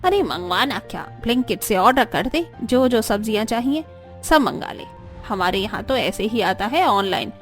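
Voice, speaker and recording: A woman's voice: fairly high pitch, expressive, normal speed, faint music behind, natural voice. A woman voicing a haughty, quarrelsome middle-aged mother-in-law in a cartoon story, scolding and boastful. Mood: boastful, scornful. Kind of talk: Hindi cartoon story voice acting.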